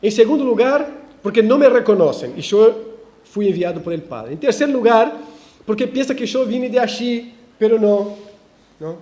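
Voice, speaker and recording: A pastor delivers a verse-by-verse sermon in a church, his voice sitting high for a man yet mellow in tone. He preaches in animated swells, breaking into restarts and ironic asides. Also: moderate pace. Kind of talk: expository sermon.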